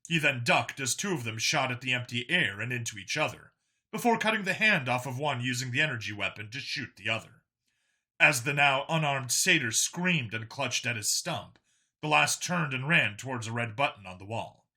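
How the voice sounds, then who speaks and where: A man reads a story aloud as an audiobook narrator. His voice sits at a middle pitch, with a very sharp, forceful tone and a raspy edge. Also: expressive, normal speed.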